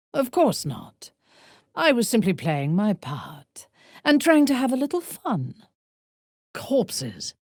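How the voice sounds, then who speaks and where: A ruthless dark elf noblewoman from a fantasy video game. Her low voice moves slowly and deliberately, swinging widely in pitch. She is imperious and sardonic, with formal diction and cool menace. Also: husky, dry recording, studio quality, heavily acted. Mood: scornful, measured. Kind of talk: video game character voice acting.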